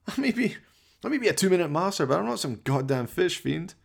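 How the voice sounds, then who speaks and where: A man voicing a high-strung, indignant young man who protests and pleads shrilly in a comic radio play. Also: sing-song, fast, creaky, slightly rough, full character voice.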